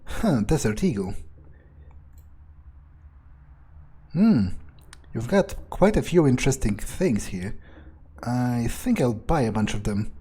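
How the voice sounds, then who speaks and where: A man voicing a power-hungry, menacing vampire in a grandiose, brooding in-character monologue for a game playthrough, with trailing pauses. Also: medium pitch, mellow, breathy edge. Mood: calculating, musing. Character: power-hungry, menacing male vampire, musing coldly on revenge.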